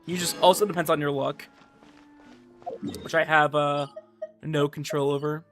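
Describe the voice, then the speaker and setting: Higher-voiced man chatting on a game stream. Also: steady tone, normal speed, fairly bright tone, faint music behind. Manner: informal, slangy, repeats filler "like" constantly. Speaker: livestreamer playing a gacha game. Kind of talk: casual stream chat and game commentary.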